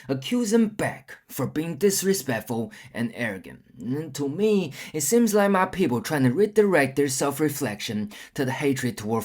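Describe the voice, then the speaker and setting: A man's voice: mid-range voice, a little mellow. A young man from China delivering a casual, blunt, profanity-laced opinion monologue with a sarcastic edge. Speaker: storyteller vlogger.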